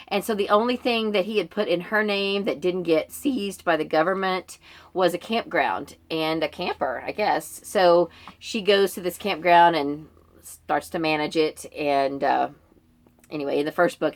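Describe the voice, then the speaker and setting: A woman's voice: on the low side, a bit bright. A woman chatting informally in a book vlog, meandering through her reading list and often interrupting herself. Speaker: book vlogger.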